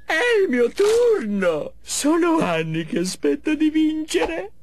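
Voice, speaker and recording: Shrill, childish villain wailing. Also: male voice, sing-song, takes it slowly, background noise, exaggerated voice. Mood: wailing tantrum. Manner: wailing, petulant. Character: tantrum-throwing male villain, childish sore loser. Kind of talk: animated video game cutscene acting, Italian dub.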